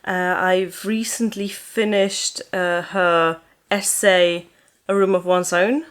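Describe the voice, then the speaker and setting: A young woman whose first language is German, chatting casually to camera about her books from a sofa in her living room, in a relaxed, rambling way with frequent ums. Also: lowish voice, slow pace, smooth. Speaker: book vlogger.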